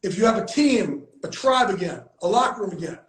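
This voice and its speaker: A man's voice: sits high, lively intonation, fairly quick. A man hosting a casual heartfelt conversation, emphatic and repeating himself for emphasis, swearing freely. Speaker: host. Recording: echoey room.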